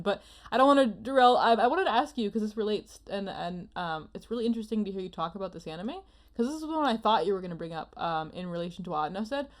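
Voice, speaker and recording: A woman co-hosting a casual panel discussion in an animated, playful manner. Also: mid-range voice. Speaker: podcast co-host.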